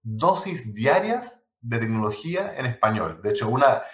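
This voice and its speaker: A man with a Chilean accent, a guest in an interview, telling stories in quick bursts with many restarts; Spanish is not his first language. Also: mid-range voice, very animated, fairly bright tone, reverberant. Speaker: guest, tech blog founder. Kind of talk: conversational interview.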